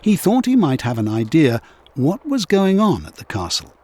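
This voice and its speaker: A man narrating a scripted children's story in an expressive, measured storytelling manner. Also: a little high.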